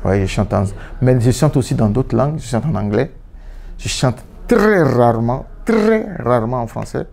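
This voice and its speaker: Gravelly-voiced man, restarts a lot. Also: medium pitch, lively intonation, normal speed, darkish tone. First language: Yoruba. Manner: reflective, with frequent restarts and repeated words. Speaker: musician. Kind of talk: interview answers. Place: indoor room, seated on a sofa.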